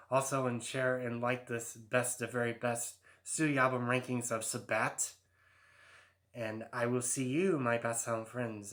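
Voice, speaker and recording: A man's voice: medium pitch, bright, forceful tone. Rambling man in his thirties. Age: 30s. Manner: rambling, hesitant, many fillers and restarts. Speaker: YouTube host. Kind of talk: casual album ranking monologue.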